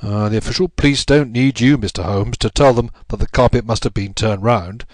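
A man's voice: mid-range voice, brisk, no echo, studio quality, lightly acted. A man voicing a self-important, bullying police inspector in an audiobook, taunting and pleased with himself. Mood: smug, challenging. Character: smug, bullying male police inspector, gloating. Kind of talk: audiobook character voice.

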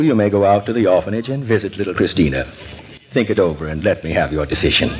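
An actor playing a kindly, earnest preacher, polite and a little hesitant, in 1940s radio comedy style. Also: male voice, low-pitched. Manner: polite, hesitant, formal, gently persuasive. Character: kindly, earnest male preacher, mild and courteous. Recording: dull, faintly noisy 1940s radio recording.

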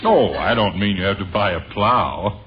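An American actor in a 1950s radio sitcom, playing an excitable neighbor full of silly schemes, chuckling and musing as he talks. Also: sits low, moderate pace, soft background music. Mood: amused. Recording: muffled noisy old radio recording.

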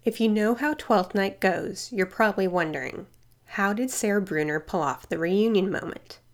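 A woman host reading scripted narration for a radio arts feature in a measured way. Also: mid-range voice.

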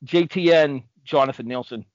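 A man's voice: medium pitch, moderate pace, dry recording, muffled recording. A man co-hosting a podcast in casual banter, often interjecting and teasing the others. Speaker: podcast co-host.

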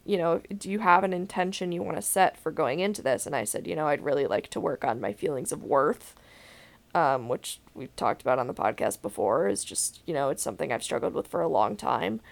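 A young woman with a deep voice, hosting a podcast in a candid, rambling way and laughing now and then mid-sentence. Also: animated, brisk, gravelly. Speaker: podcast host. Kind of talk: casual podcast chat.

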